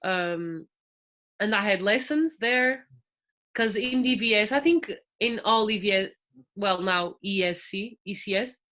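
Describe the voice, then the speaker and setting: A woman's voice: medium pitch, a little slow, fairly bright tone. A Portuguese woman in her twenties with a Portuguese accent, a guest chatting casually on a podcast with frequent fillers and restarts. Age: 20s.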